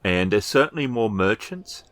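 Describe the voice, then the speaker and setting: A man narrating a tabletop role-playing game as storyteller, improvising descriptions with hesitant pauses. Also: fairly low pitch, expressive.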